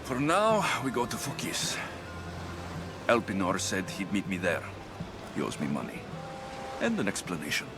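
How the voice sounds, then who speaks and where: Deep, brooding mercenary. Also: male voice, big pitch swings, normal speed, pauses often. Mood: guarded, brooding. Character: brooding, hot-tempered young Greek mercenary warrior, male. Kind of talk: video game cutscene acting. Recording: background music under the voice.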